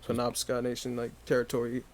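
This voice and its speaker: Easygoing young rapper guest. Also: male voice, medium pitch, slight background noise. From Maine, USA. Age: 20s. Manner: relaxed, easygoing, quick affirmations. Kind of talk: casual interview chat.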